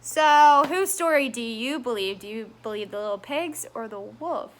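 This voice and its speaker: A woman reading a storybook aloud to children, expressive and putting questions to her listeners. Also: a little high, moderate pace.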